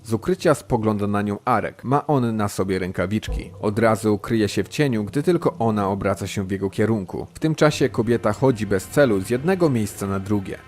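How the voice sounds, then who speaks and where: A man's voice: on the low side, fairly quick, few pauses, a little mellow, soft background music. A man reading scripted present-tense narration for an audio drama.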